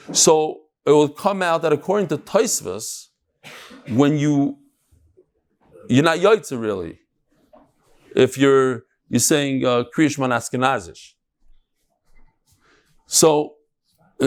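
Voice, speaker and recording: A man giving an informal Talmud class, conversational and emphatic, mixing in Hebrew terms and rhetorical questions. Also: fairly high pitch.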